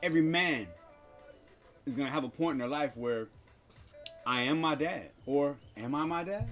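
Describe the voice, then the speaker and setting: A man's voice: fairly high pitch, moderate pace, a bit bright, faint music behind. A man in casual podcast conversation who talks like a preacher, citing scripture and building to emphatic, repeated phrases. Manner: cites scripture.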